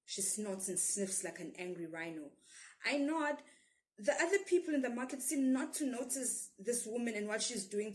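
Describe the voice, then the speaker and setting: A woman's voice: on the high side, poor audio. A woman with a South African accent reads a children's story expressively as a storyteller.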